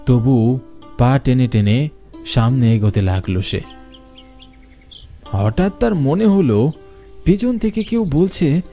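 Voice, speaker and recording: Mellow male horror narrator, lively pitch. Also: slow pace, pauses often, faint music behind. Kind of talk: scripted horror story narration.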